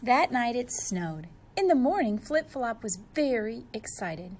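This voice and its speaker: A woman's voice: a little high, big pitch swings, unhurried, a little mellow. A woman reading a storybook aloud to young children, expressively and speaking to them directly.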